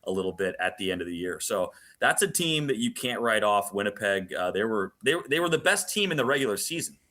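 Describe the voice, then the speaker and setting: A man with a slightly low, bright and forceful voice works as a sports announcer. He is a guest on a radio show, laying out detailed analysis with emphasis. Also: big pitch swings, brisk.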